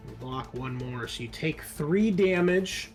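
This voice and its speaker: Male game master, slightly high pitch, conversational rules talk, tabletop roleplaying narration, faint background music. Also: animated, measured pace. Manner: conversational, explains rules with fillers.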